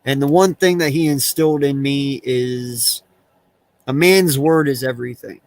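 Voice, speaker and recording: A man's voice: medium pitch, measured pace, no room sound. A man hosting a casual late-night fan stream, laid-back and earnest, swearing casually. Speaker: stream host. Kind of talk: casual chat.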